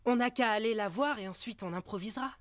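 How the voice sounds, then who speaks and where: A woman's voice: fairly high pitch, fast, natural voice. A woman voicing an agreeable, keen youngster in a French cartoon dub.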